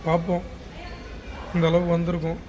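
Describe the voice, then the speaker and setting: A man's voice: a little high, completely flat delivery, brisk. A man from Chennai with a Tamil Nadu accent, talking casually as a business founder filming a travel vlog in an airport. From Chennai, India. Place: busy international airport. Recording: noisy public space, clear background noise.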